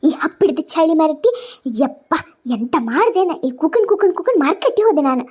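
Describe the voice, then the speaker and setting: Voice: extremely high pitch, very animated, fairly quick, darkish tone. A performer voicing a grumbling, muddled elderly mother in a cartoon comedy, with a Kundapura Kannada accent and wailing, repetitive exclamations. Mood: weary, grumbling. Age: older.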